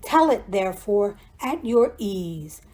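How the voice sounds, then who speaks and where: A woman reciting poetry in a deliberate, declamatory way. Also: medium pitch, sing-song, a little mellow. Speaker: poetry reader.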